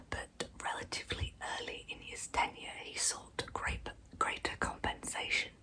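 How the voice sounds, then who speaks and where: A woman whispering breathily and deliberately as she hosts and narrates a true-crime story in ASMR style. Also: low-quality recording. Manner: soft, deliberate, slow delivery. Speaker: ASMR video host and narrator.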